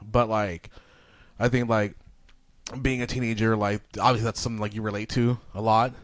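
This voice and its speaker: A man's voice: on the low side, flat delivery, normal speed, dry recording. A young man hosting a podcast, chatting casually and joking, swearing and laughing often. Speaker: podcast host. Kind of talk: casual chat reviewing an album.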